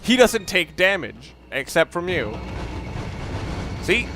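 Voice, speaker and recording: A man's voice: high voice, very animated, slow, slight background noise. A man giving casual let's play commentary in a rambling, self-deprecating way. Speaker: gaming video creator. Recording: loud game music behind. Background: video game music and sounds.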